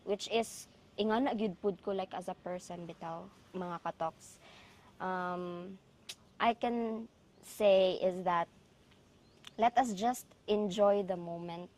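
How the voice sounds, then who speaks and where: A woman from the Philippines with a Filipino accent and a Cebuano mother tongue, co-hosting a casual, reflective chat in a halting way with trailing thoughts. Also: medium pitch, darkish tone. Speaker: podcast co-host.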